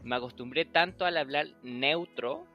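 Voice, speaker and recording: A man's voice: on the high side, normal speed, muffled recording, faint music behind. A young man from Chile chatting casually as a podcast host, acting out old conversations and laughing often. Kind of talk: casual chat.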